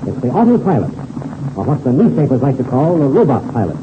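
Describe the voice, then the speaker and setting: A man's voice: medium pitch, very animated, fast talker, lightly acted. An actor playing a confident seasoned pilot mentoring a boy in 1930s radio serial style, assured and slangy. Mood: assured, enthusiastic. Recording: scratchy, tinny old-time radio recording, noisy.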